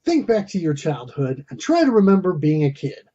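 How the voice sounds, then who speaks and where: A man's voice: a little high, big pitch swings, moderate pace, mellow, muffled recording, slight background noise. A man hosting a retro video game review, reading scripted narration in a theatrical, wordy and wry manner.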